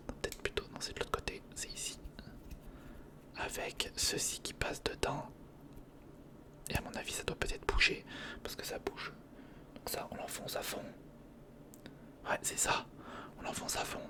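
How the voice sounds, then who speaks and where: A man speaks in a breathy whisper close to the microphone, murmuring casual hands-on ASMR commentary in fragments, as if thinking aloud. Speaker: ASMR video creator. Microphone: close recorder microphone.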